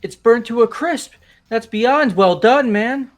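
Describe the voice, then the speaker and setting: A man's voice: very high-pitched, slow pace, faint music behind. A man playing a brash, laid-back student in a fan-voiced visual novel, slangy and full of disbelief. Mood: flippant shock. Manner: slangy, exclamatory, questioning.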